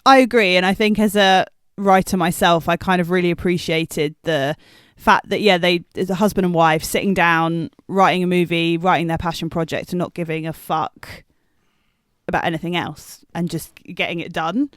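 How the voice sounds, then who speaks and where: A man's voice: high-pitched, fairly even intonation, moderate pace, a bit bright, clean tone, no echo, clean studio sound. A man co-hosting a casual film podcast, giving opinions in an informal, conversational way. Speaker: podcast co-host, writer.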